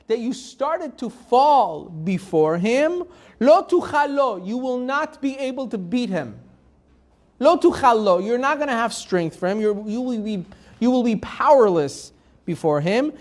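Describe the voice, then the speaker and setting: A rabbi teaching a live Torah class. He reads Hebrew verses, translates them phrase by phrase, and asks the class rhetorical questions in a loose, conversational way. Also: sits high. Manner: conversational, with restarts and fillers.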